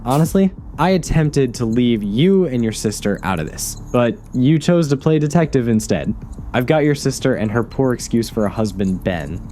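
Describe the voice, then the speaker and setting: A man's voice: medium pitch, lively intonation, normal speed, mellow, lightly acted. An actor playing a smug, ruthless male villain in an audio drama, sneering with mock politeness and wordy taunts. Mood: contemptuous, ruthless. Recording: clear background noise behind.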